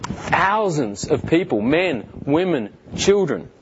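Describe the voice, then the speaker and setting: An earnest Australian man in his thirties shares his own story from the front of a hall, his voice sitting a little high. He stumbles through fillers and restarts. The recording is dull and muffled, with a faint hiss underneath. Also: very animated, slow pace. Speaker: guest speaker. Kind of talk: personal testimony talk.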